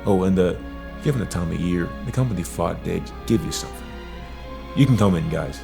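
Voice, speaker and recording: Guy as friendly businesslike company rep, low creaky voice, audio drama w music. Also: fairly quick. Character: friendly, matter-of-fact company man bearing good news. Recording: music under the voice.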